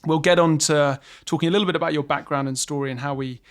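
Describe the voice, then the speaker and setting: A man's voice: a little high, steady tone, quick pace, clear, resonant tone. A man hosting a podcast, asking questions in a friendly, conversational way. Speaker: podcast host. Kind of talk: podcast interview.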